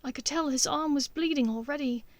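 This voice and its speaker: A woman's voice: high-pitched, a little fast, no echo, voice pushed up, natural voice. A woman voicing a frightened, guilt-ridden young witch in an audiobook, with a breathless, plaintive delivery. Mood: shaken, frightened. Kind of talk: audiobook character voice.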